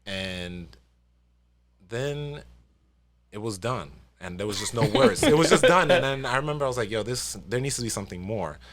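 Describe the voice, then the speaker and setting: A man's voice: medium pitch, big pitch swings, moderate pace, a crowd in the background. A man in his early thirties, a guest author telling the story behind his work in a loose, rambling way on a casual podcast. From New York. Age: 30s. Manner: rambling, retells his own past remarks in quotes.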